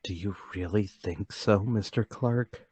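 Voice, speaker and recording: A man's voice: lowish voice, a little slow, darkish tone, husky, no room sound, muffled recording. An actor playing a meek, troubled travelling salesman, hesitant and deferential, in a table read of a period murder mystery. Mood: anxious, unsure.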